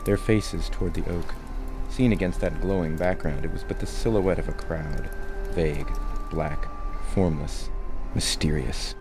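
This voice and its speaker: A man narrating a scripted story for an audio drama, with a creaky voice and literary delivery. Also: rumbling low, unhurried, music in the background.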